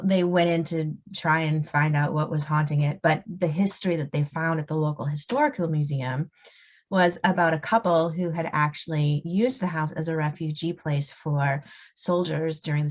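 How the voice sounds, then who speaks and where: A woman's voice: low-pitched, steady tone, normal speed. A woman, talking casually as an author being interviewed. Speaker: author guest on a podcast.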